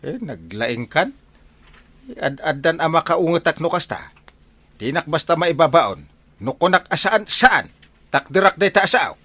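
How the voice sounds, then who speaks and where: A man acts out an excitable, henpecked village captain for an audio comedy, with a northern Philippine accent. His voice sits a bit high and is sharply bright, with huge swoops in pitch as he grumbles and stammers. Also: a little slow, long pauses, no echo, heavily acted. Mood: indignant, flustered. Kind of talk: comedy audio drama acting.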